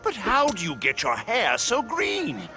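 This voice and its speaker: Sinister cackling clown villain, theatrical sing-song taunting, very animated pitch, drawn out, game music underneath. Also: male voice, measured pace, exaggerated voice. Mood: gleeful menace.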